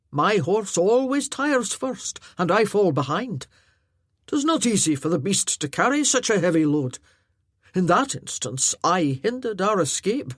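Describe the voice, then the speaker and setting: An earnest giant of a Highland warrior, voiced by a woman reading the story aloud. He talks loudly and sincerely, his pitch rising and falling freely. The recording is close and dry. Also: no echo. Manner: earnest, chatty, loud. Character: huge earnest male Highland warrior, former blacksmith.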